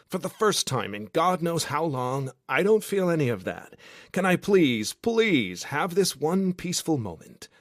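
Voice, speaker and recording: An excitable, cheesy young American guy on holiday, played by a male narrator. He speaks emphatically in a raised pitch, as a character in an audiobook. The sound is close and dry. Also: a little slow, dry recording. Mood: earnest. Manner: exuberant, emphatic.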